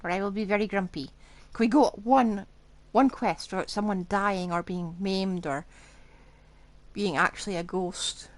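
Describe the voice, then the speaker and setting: A woman's voice: medium pitch, unhurried, no echo. A woman streaming a game with casual live reactions, playful and given to mock grumbling.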